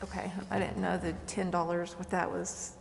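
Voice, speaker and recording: A woman's voice: lowish voice, level tone, normal speed, creaky. A woman asking informal questions as a council member at a meeting, hesitant and inquiring. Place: council chamber. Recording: reverberant hall with faint noise.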